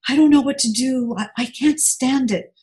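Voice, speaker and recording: Woman acting distraught tearful caller, high and pleading. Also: dark tone, close to an everyday voice. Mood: despairing. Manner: pleading, halting, repeating words. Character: distraught, tearful young woman on a phone call.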